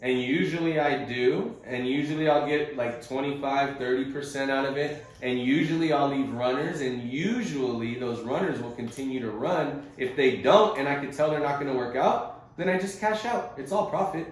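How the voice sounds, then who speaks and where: Male, mid pitch, informal coach, slangy explanations, conference call, heavy echo. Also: normal speed. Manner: casual and conversational, uses slang like bro and bud. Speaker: host and trading coach on a group conference call. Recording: very echoey group conference call audio.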